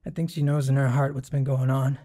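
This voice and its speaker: An actor playing a dying man in his thirties in a radio drama, halting and burdened with guilt as he confesses. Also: medium pitch, little pitch movement, warm tone, natural voice. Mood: heavy, hesitant. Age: 30s.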